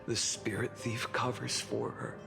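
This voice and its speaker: An actor playing a weary, grim man burdened by endless memories, speaking in a brooding, measured, dramatic way for a video game cutscene. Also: medium pitch, level tone, vocal fry. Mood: weary, bitter. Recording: loud orchestral game music behind.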